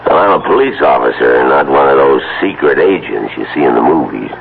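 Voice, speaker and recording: A sardonic police officer in a radio drama. His voice is very deep and gravelly, unhurried and folksy, with a dismissive edge. It comes through narrow and tinny, like an old broadcast. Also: animated, a little hiss or hum, full character voice. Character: wry, dismissive small-town police officer.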